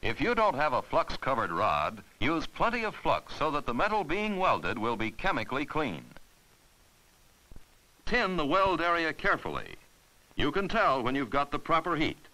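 A man giving scripted instructional narration for a 1950s educational film, patient and clear as he walks through each step. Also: on the high side, wildly swooping pitch, normal speed, bright, forceful tone, low-quality recording.

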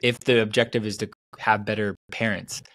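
A man's voice: lowish voice, level tone, normal speed, a bit bright. A man in his thirties, a guest author in a casual video-call conversation, talking animatedly with many restarts and fillers. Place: small home room on video call. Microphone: video call mic.

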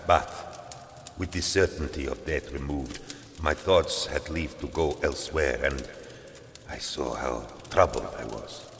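An actor playing a grave, battle-worn space warrior, telling his tale in a measured, solemn dramatic style. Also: extremely low pitch, a bit bright, a little hiss or hum, heavily acted. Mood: troubled. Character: brooding battle-worn Space Wolf warrior recounting a lost battle. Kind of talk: dramatic audio-drama storytelling. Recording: long reverb with music underneath.

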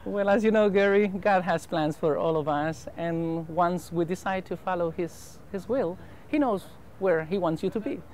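Salvadoran pastor, careful accented English. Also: male voice, high-pitched, darkish tone, slight background noise. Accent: Salvadoran Spanish. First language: Spanish. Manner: earnest, careful English with filler pauses. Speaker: pastor and mission president. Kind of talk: interview answers. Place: outdoor rooftop terrace over a harbor. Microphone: lapel.

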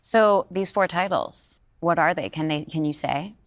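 A woman's voice: fairly low pitch, unhurried, dry recording, studio quality. A woman hosting a podcast, upbeat and inquisitive as she puts questions to her guests. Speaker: podcast host. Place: studio set.

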